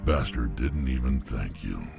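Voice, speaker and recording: A man playing a gruff, blunt alien mercenary in a video game, with a gravelly, scornful delivery. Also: very deep voice, animated, unhurried, music in the background, full character voice. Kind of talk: video game cutscene acting.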